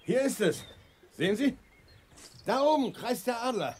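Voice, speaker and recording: An actor playing a helpful, easily amazed small-town sheriff in a radio play. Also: male voice, very high-pitched, animated, a little slow, a little mellow, slight background noise. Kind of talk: 1980s German science fiction radio play acting.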